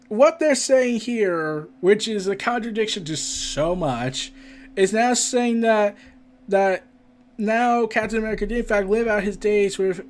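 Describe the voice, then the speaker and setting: Male podcast host, high-pitched voice, casual news commentary with stumbly reading and chatty asides, some background noise.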